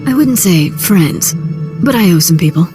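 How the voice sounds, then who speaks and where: An actress playing a brash, sardonic, streetwise crime broker in a video game. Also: female voice, on the low side, animated, moderate pace, lightly acted. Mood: brisk, persuasive. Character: brash, sardonic female underworld fixer. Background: loud music.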